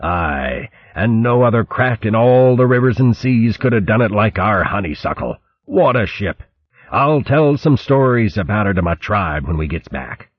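A man voicing a male shrew chieftain who yells orders in a high-pitched, excitable voice and says "mates" a lot. The voice is husky and comes through a dull lo-fi recording. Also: normal speed, no room sound. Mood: proud.

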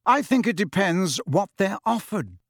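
Measured, wary male wizard for a storybook, played by a male reader; slightly high, expressive, reasoning tone. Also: no room sound, clean studio sound, heavily acted. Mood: grave.

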